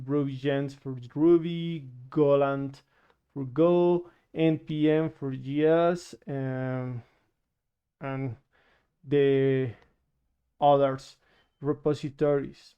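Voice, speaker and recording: Slow, flat-voiced Colombian man presenting. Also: on the high side, clear, resonant tone. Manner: halting, many fillers and restarts. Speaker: security researcher. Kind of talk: conference presentation.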